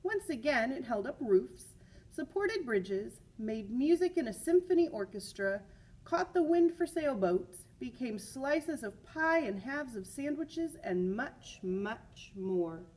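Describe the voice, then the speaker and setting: Give a woman's voice, slightly high and somewhat husky, reading a picture book to students. She is expressive and leans on key words, dropping in chatty teacher's asides between passages. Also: takes it slowly, poor audio. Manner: expressive, with emphatic repeated words.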